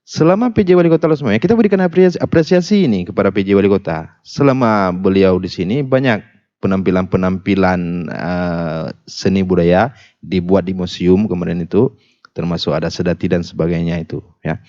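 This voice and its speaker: A man from Aceh speaking as a guest on a radio discussion, animated, with many fillers and restarts and emphatic rises. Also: low-pitched, brisk. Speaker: talk show guest. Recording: dull lo-fi radio broadcast sound.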